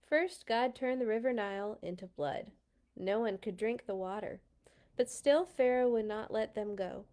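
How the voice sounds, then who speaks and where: A woman hosting a children's read-along, reading storybook text with deliberate dramatic emphasis and dropping into casual asides. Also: on the high side, a little mellow. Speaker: children's read-along host and Bible school teacher.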